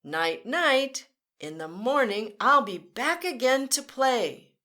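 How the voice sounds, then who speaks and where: A woman reads a children's picture book aloud, slow and unhurried, with a bright, clear tone. Her voice swoops up and down in a lively sing-song, and she gives the vehicle noises a playful bounce. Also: on the high side. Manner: talks directly to children. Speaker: children's storyteller. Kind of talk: read-aloud storytime.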